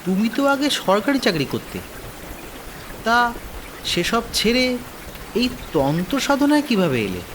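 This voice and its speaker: Man playing lively higher-pitched young man, rainy backdrop. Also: unhurried, long pauses. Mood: curious, amiable. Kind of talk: dramatized cartoon dialogue and narration. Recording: noisy rain ambience behind the voice.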